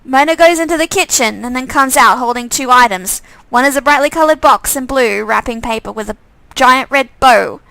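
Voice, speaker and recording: High, creaky-voiced woman reading aloud. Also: normal speed, hardly any pauses, bright, forceful tone, no room sound, rough recording. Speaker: narrator reading a visual novel. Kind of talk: live read-aloud narration with casual asides.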